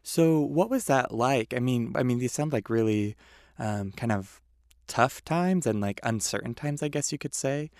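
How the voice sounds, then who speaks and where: A man's voice: medium pitch, normal speed, darkish tone, dry recording, clean studio sound. A man hosting a podcast, introducing the show and interviewing a guest in a friendly, attentive manner. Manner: friendly, attentive, encouraging listener. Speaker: podcast host.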